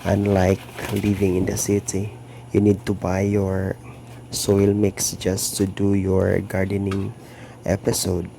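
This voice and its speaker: Slow gravelly Filipino man. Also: deep voice, completely flat delivery, dark tone, a little hiss or hum. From Philippines. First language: Tagalog or Filipino. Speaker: gardening vlogger. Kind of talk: narrated gardening vlog. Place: home garden in the province.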